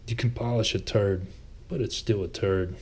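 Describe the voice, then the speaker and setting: A sardonic wanderer from a fantasy storybook, voiced by a man talks low with a mellow tone. He is blunt and laconic, delivering his jabs in a wry, jeering way. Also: steady tone, moderate pace, breathy edge. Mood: dry, jeering. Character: Lucian, sardonic, blunt tobacco-chewing wanderer.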